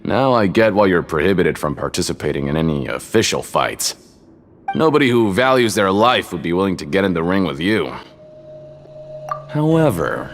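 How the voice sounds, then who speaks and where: Casting: shifty fight-betting vendor, low voice, lively swings, casual confiding game delivery, music underneath. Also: male voice. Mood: breezy. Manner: casual, hesitant, confiding salesman. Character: shifty, folksy adult male betting-ticket seller at fights. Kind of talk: video game character acting.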